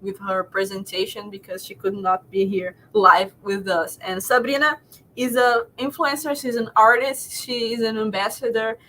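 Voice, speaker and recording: A woman's voice: medium pitch, fairly even intonation, moderate pace, pushed and bright, slight background noise, low-quality recording. A woman who hosts an online event, thanking guests and introducing speakers, with many hesitant fillers. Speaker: event host.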